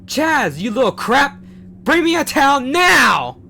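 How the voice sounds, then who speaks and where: A man voicing a furious, tyrannical mad scientist father who yells and threatens. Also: medium pitch, expressive, moderate pace, a little hiss or hum, natural voice. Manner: shouting, menacing.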